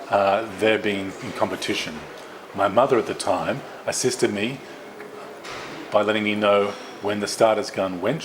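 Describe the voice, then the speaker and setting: Male sign interpreter, deeper register, steady and careful delivery, noisy ambience in the recording. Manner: measured, careful phrasing with small restarts. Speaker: sign language interpreter voicing both sides. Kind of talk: voiced interpretation of a signed interview.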